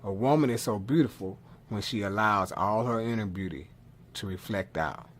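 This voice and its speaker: A man's voice: on the low side, normal speed. A man giving a dramatic reading of poetry in a declamatory manner, leaning on repeated phrases. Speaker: poetry reader.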